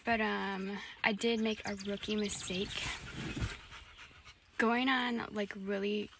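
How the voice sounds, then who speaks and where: A woman's voice: medium pitch, steady tone, slow pace. A young woman in her twenties, casually vlogging to her phone about a hike with her dog, chatty and upbeat. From Minnesota. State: sweaty after a climb. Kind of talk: casual vlog chat. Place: in a car and outdoors on a wooded bluff. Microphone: phone camera held at arm's length. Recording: phone recording with clear background noise.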